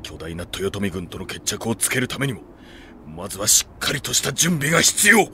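A man's voice: lowish voice, sing-song, normal speed, pushed and bright, a little hiss or hum, exaggerated voice. An actor playing a stern, protective samurai retainer in an anime audio drama, speaking firmly and formally to his lord. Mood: firm, dutiful. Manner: firm, formal, gruffly deferential. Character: stern, loyal samurai retainer, protective right-hand man.

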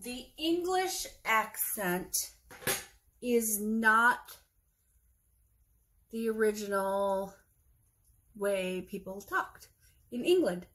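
A woman's voice: medium pitch, animated, extremely slow, long pauses, fairly bright tone, poor audio. A woman in her thirties with a Wisconsin accent, chatting casually to camera from her kitchen, rambling and groping for words as she goes. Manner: rambling, searching for words, self-correcting. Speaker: vlogger. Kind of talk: casual chat. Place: home kitchen, indoors. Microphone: phone camera across the table.

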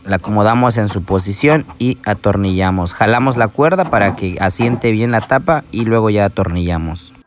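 A man's voice: fairly low pitch, animated, normal speed, few pauses, slight background noise. A man talking viewers through a small-engine repair step by step, patient and explanatory, speaking to them directly as a voice-over.

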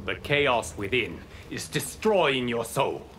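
A man voicing a wise, stern god in a video game, calm, formal and admonishing. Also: mid-range voice, slow, background noise. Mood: solemn warning. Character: solemn, wise male fire god, sternly sorrowful. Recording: music playing behind.

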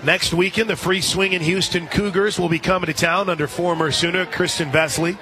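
An American man doing live radio play-by-play commentary of a softball game. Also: high voice, steady tone, fairly quick. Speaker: radio play-by-play sports announcer. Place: outdoor stadium broadcast position. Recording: crowd noise and music behind commentary. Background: stadium crowd and music.

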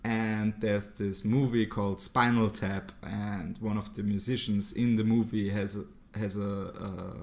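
A man's voice: fairly low pitch, level tone, measured pace, vocal fry, few pauses. Guy hosting a podcast, jokey and talkative, laughs a lot. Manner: joking, talkative, laughs often. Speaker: podcast host. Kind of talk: casual chat.

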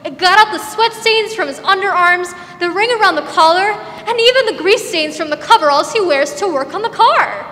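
A woman's voice: very high-pitched, animated, moderate pace, few pauses, sharp tone, heavily acted. A young woman playing a cheerful, reassuring housewife selling products in a 1940s-style radio advert. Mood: bright, proud. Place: school theatre stage, indoors. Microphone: stand microphone. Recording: echoey hall, faint noise.

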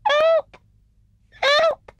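A woman voicing a tiny, eager young male hedgehog in a children's storybook, with a squeaky, piping little voice. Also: flat delivery, very slow, a bit bright, no echo, full character voice. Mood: muffled pleading. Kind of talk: children's storybook character reading.

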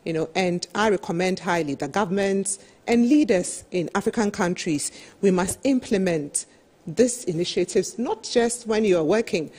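Slightly husky woman presenting. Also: medium pitch, normal speed, a little mellow. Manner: explanatory, emphatic, frequent "you know". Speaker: panel speaker. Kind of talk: business presentation at a televised roundtable. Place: television studio, seated at a desk.

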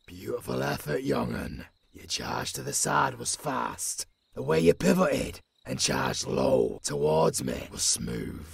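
A man with a British accent playing a tough old werewolf mentor in an audio roleplay, gruff but caring, with a growling, raspy voice and a commanding manner. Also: medium pitch, big pitch swings, exaggerated voice. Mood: gruff approval. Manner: slow, deliberate, commanding.

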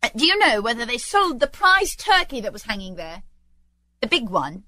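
A delighted old gentleman from a classic storybook, acted out by a woman. The pitch sits fairly high and leaps about as he exclaims and gushes with kindness. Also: sing-song, moderate pace, no room sound. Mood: giddy delight. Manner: exclamatory, effusive. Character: reformed old miser, giddy, delighted, kindly old gentleman.

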